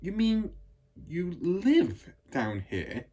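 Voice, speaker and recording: A man's voice: sits high, sing-song, drawn-out words, mellow, voice pushed up, full character voice. A man voicing a small, curious schoolgirl with an earnest, inquisitive manner in a storybook reading. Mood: curious, wondering.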